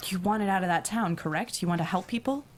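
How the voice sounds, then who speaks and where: A woman playing a calm, eerie non-human being in human form, with formal, precise diction, in a dramatic script table read. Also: mid-range voice, fast, slight background noise, lightly acted. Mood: urgent, persuading.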